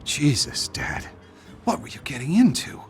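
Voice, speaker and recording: A man's voice: a little high, very animated, a little slow. An actor playing a troubled middle-aged father in a video game cutscene, speaking in an earnest, uneasy way. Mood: dismayed. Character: troubled, worried father investigating his family's occult secrets. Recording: music under the voice.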